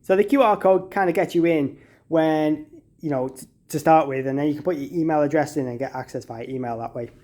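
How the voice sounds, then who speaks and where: A man's voice: a little high, brisk, a little mellow. A man giving a casual, step-by-step product walkthrough as a demonstrator, in an informal, conversational way.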